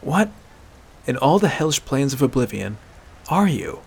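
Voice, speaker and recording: A man's voice: mid-range voice, very animated, fairly quick. A man voicing a stubborn, sarcastic young hunter in a dramatic fantasy story, blunt and incredulous in his challenges. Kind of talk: dramatic fantasy audiobook character voice.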